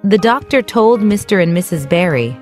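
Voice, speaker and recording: A woman giving scripted narration for a children's story in a clear storytelling delivery. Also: mid-range voice, animated, moderate pace, faint music behind.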